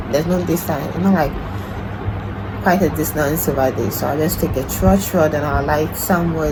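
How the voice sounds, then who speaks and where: A young woman in her twenties chats through her morning at home, rambling and poking fun at herself. Her voice sits low and groggy. Steady background noise runs under the recording. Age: 20s. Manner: chatty, rambling, self-deprecating. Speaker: vlogger. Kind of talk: casual vlog chat. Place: at home, indoors.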